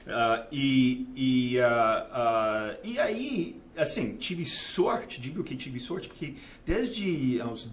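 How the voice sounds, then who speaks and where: A man's voice: mid-range voice, animated, drawn-out words, few pauses. A man in his forties from Texas, speaking Portuguese with a Texan American accent, hesitant, with many fillers and restarts. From United States, grew up in Dallas. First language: English. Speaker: guest, investment manager. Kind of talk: casual interview.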